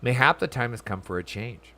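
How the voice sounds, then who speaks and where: A man's voice: mid-range voice, animated, a little fast, sharp tone. A man reads aloud as an earnest young noble in a fantasy game. He is kindly and a little self-righteous. His phrasing is formal and archaic. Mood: weary, grave. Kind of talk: reading game dialogue aloud.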